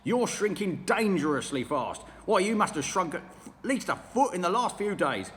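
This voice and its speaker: A man's voice: high voice, big pitch swings, moderate pace, a bit bright, big-room sound, slight background noise, pitched above the performer's own voice. A man voicing a nasty old man who gloatingly taunts his wife in a storybook reading. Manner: taunting, mock-solemn.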